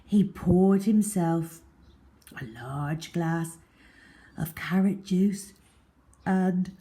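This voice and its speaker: A woman narrating a storybook for young children in an expressive, theatrical, deliberate way, letting phrase endings drop to a hush. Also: lowish voice.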